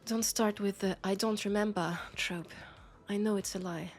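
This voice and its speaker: A woman with a French accent voicing a sharp, scolding but caring young policewoman in an intimate sci-fi roleplay audio drama. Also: medium pitch, steady tone, normal speed, faint music behind, close to an everyday voice. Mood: probing, confident.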